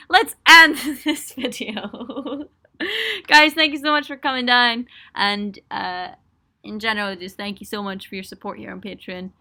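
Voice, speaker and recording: Bright, giggly young woman livestreaming. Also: on the high side. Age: young adult. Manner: chatty and giggly, self-mocking, frequent ums and laughs. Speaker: musician hosting a livestream for supporters. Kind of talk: casual live chat answering viewer questions. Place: small indoor home studio room.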